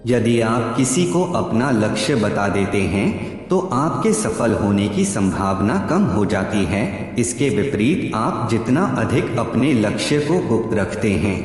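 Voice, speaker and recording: Male narrator, scripted and deliberate, animated intonation, drenched in echo. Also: on the low side. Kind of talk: scripted narration of psychology facts. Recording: heavy echo, long reverb, background music. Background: music.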